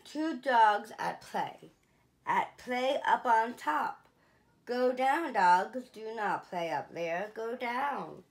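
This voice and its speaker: A woman in her thirties reading a picture book aloud for children, slow and clear, with lively rises on the dogs' exclamations. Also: on the high side. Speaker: children's museum storyteller. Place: small indoor room, seated in an armchair.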